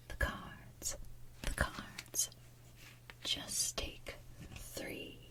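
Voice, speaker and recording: A woman voicing a sly male voodoo con-man from an animated musical, softly half-singing in a slow, coaxing, breathy delivery. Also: fairly high pitch, slight background noise. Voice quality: soft, breathy, half-whispered. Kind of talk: softly sung animated musical villain song.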